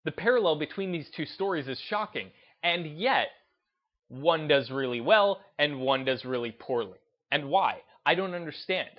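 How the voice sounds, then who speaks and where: A man's voice: fairly high pitch, expressive, normal speed, lo-fi sound. A man giving casual opinion commentary as a video host, emphatic and repeating phrases for stress.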